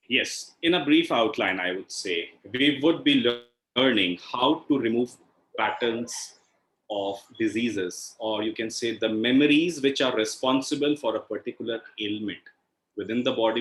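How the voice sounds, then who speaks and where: A man's voice: lowish voice, moderate pace, a bit bright. A man with an Indian accent explains as an instructor on an online call, in a didactic way, often checking in with a questioning "right?". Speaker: healing instructor and session host. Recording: echoey room over video call.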